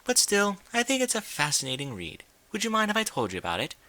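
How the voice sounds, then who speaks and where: A dainty, ladylike storybook pony, acted out by a man, speaking in a high, swooping voice with formal, theatrical phrasing. She is refined and gently prodding, with a bright tone. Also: huge pitch swings, fast, exaggerated voice. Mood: coy, storytelling. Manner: genteel, formal, theatrical diction.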